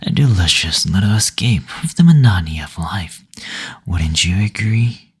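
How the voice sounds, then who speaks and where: Suave demon receptionist, male actor: deep, heavily breathy voice, seductive and teasing, for intimate audio roleplay. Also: lively intonation, heavily acted. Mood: seductive, attentive. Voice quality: breathy, husky, near-whispered. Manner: slow, coy teasing with endearments and drawn-out hums.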